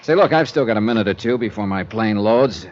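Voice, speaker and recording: A man's voice: fairly low pitch, lively intonation, close to an everyday voice. An actor playing a hard-boiled male insurance investigator in a 1950s radio drama, dry and wisecracking. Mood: wry. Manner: dry, wisecracking, brisk clipped delivery. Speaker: narrator and lead character. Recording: dull old radio transcription with hiss.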